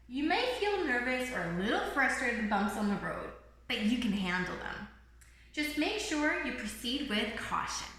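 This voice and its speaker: Casting: woman as small cheerful bird guide for a picture book, high-pitched, reassuring, echoing room. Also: bright, forceful tone, heavily acted. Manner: bubbly, reassuring, exclamatory. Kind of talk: children's picture book read-aloud. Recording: roomy, echoing room sound.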